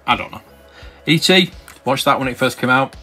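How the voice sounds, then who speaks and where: A man's voice: medium pitch, animated, normal speed, sharp tone. A man casually browsing a catalogue and commenting as a channel host, rambling and hesitant, with many ums and restarts. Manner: enthusiastic collector's remarks. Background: faint background music.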